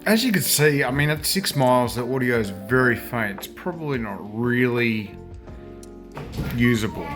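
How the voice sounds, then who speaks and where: Male product reviewer, medium pitch, casual and plain-spoken, faint music underneath. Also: animated, moderate pace. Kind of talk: casual hands-on gadget review.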